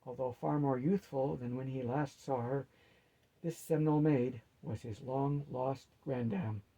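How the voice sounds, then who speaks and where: A man's voice: medium pitch, fairly even intonation, measured pace, mellow, rough recording. A man reading a fantasy story aloud as a narrator.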